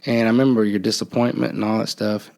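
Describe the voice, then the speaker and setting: A man telling a personal story on a podcast, halting and reflective, often trailing off. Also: on the low side, steady tone, a little mellow. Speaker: podcast host.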